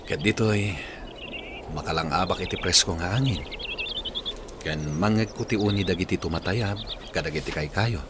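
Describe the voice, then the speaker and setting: A man's voice: sits low, normal speed, pauses often, noisy background, natural voice. A man playing a sorrowful, reflective Filipino villager with an Ilocano accent in a radio drama. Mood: wistful.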